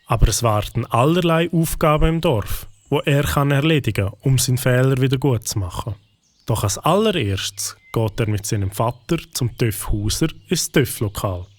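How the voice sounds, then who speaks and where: A man narrates a children's story from a script. His voice is medium in pitch and sounds dry, with no room around it. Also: animated, moderate pace, no echo.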